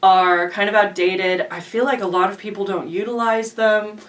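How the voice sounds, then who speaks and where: A woman's voice: medium pitch, steady tone, moderate pace. A woman chatting casually as co-host of an online channel, playfully teasing. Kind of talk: casual vlog chat. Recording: slightly distant from the microphone.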